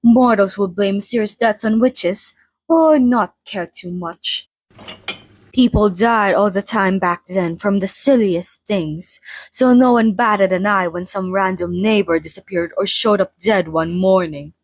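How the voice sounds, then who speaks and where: A woman voicing a playful, theatrical century-old female vampire in a chatty, mock-formal ASMR roleplay monologue, with a soft, breathy voice. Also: mid-range voice, a little slow, dry recording. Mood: gossipy, wry. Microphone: close studio microphone, dry.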